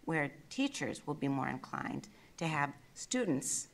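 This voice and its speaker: Low gravelly woman in her sixties. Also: sing-song, unhurried. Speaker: school board member. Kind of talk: board meeting remarks. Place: meeting room. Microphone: desk gooseneck mic.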